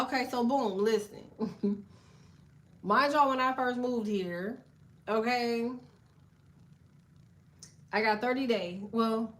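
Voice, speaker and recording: A middle-aged woman, a tarot reader, telling a long story to her viewers in loose, profane slang, often breaking in with "okay?". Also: fairly bright tone. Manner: loose, profane, chatty, lots of "okay?" and "so boom".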